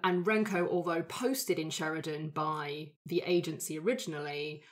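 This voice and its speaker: A woman's voice: low-pitched, fairly even intonation, moderate pace. A woman talking casually as she works through ideas with fellow tabletop roleplay players. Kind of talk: casual group discussion.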